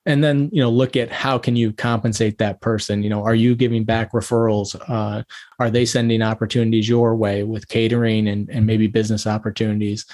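A man's voice: fairly low pitch, little pitch movement, fairly quick, a little mellow. Attorney guest, male, methodical and practical, asks question after question. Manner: methodical, lists questions one after another. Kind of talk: interview answers, advising.

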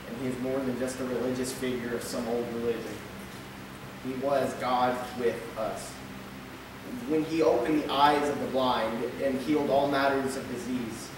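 A young man in his twenties reading a sermon at a pulpit in a small church, steady, with the odd stumble and filler word. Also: medium pitch, distant mic, noisy background. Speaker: lay preacher. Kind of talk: sermon read from notes. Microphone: pulpit mic, camera at the back. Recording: echoey church hall recorded from afar.

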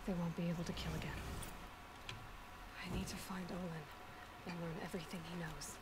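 Woman acting a determined young huntress, lowish voice, noisy. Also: little pitch movement, moderate pace, lightly acted. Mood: grimly resolved. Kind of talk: video game voice acting. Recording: noisy game audio under battle ambience. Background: game ambience and noise.

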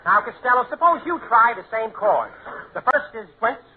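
An actor playing a fussy piano professor in a 1940s radio comedy, confidently rattling off garbled nonsense jargon. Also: male voice, extremely high pitch, sing-song, moderate pace. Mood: confident, instructive. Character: fussy male piano professor spouting nonsense double-talk. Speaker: comic actor. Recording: noisy, narrow-band 1940s radio recording.

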